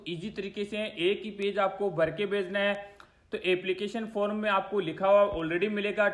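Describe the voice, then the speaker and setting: A man's voice: sits high, fairly bright tone. A man with an Indian accent hosting an explanatory voice-over tutorial, guiding listeners step by step. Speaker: tutorial host. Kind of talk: explanatory voice-over guide to filling in a form.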